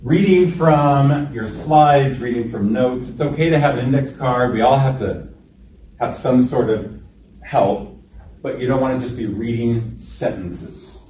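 Trainer in an echoey room, heard from afar. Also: male voice, mid-range voice, warm tone, slight background noise. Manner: upbeat, calls on trainees by name, slows down to stress points. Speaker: presentation skills trainer. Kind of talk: classroom workshop lecture. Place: large training room indoors. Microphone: room camera from a distance. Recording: echoey room, distant mic.

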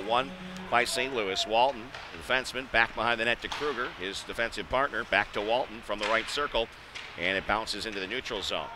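A man calling a live hockey game as a play-by-play radio announcer, in clipped running phrases. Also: mid-range voice. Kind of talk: live sports radio commentary. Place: ice hockey arena. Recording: noisy live ice arena ambience.

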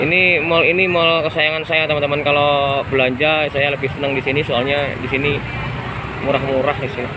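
A man's voice: on the high side, level tone, fairly quick. A man reporting live from the street on his phone, describing the scene to his viewers in an urgent, repetitive way. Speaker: citizen reporter vlogger. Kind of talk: on-the-spot phone video report. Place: outdoors at night by a mall fire, busy street. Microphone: phone camera held by the filmer. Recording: phone recording with loud street noise.

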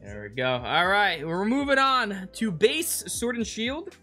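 Bright, animated young man. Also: high-pitched, unhurried. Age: young adult. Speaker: host of card unboxing videos. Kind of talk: casual unboxing chat. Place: small room indoors. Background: background music.

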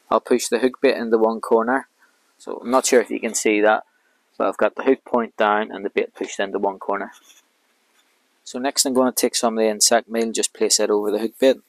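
A man in his thirties, a fishing vlogger, calmly explaining each step of his rig while working outdoors by a lake with a clip-on mic. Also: on the low side, dry recording, poor audio. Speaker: carp angler vlogger. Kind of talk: casual how-to commentary.

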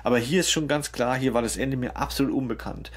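A man's voice: mid-range voice, fast talker, a little hiss or hum. A man co-hosting a casual podcast discussion, explaining things in a colloquial way. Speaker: podcast co-host.

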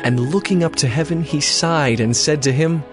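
A man's voice: a little high, normal speed, music in the background. A man narrating scripture from a script in a dramatized Bible production.

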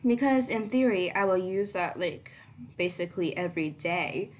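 A teenage girl chatting casually through her makeup routine in a small bedroom, rambling with lots of ums and likes, her voice fairly low for her age. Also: lively intonation, normal speed, slight background noise. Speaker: beauty vlogger. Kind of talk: casual makeup tutorial chat.

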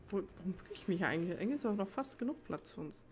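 A woman's voice: medium pitch, animated, rapid-fire, a little hiss or hum. A woman giving casual gameplay commentary in a chatty, playful way, muttering asides to her viewers. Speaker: let's play host. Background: video game ambience.

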